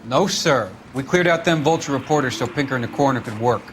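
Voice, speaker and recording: A man's voice: medium pitch, lively intonation, fast, a little hiss or hum, natural voice. A man playing a deferential uniformed patrolman in a video game, respectful and informal. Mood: dutiful. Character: deferential male uniformed beat cop, plain-spoken. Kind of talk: cinematic video game acting.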